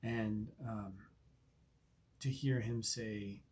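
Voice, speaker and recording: Stuffy-nosed man with a husky voice. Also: fairly low pitch, fairly even intonation, extremely slow, a little mellow. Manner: earnest, reflective, with many ums and uhs. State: stuffy from allergies, sniffling. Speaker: Bible reading podcast host. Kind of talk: devotional commentary and scripture reading.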